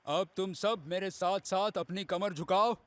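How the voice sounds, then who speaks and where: High-voiced helpful cartoon uncle. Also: male voice, a little slow, dry recording. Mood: instructing. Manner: instructive, encouraging. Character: helpful, patient adult male yoga instructor uncle. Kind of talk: Hindi cartoon dub acting.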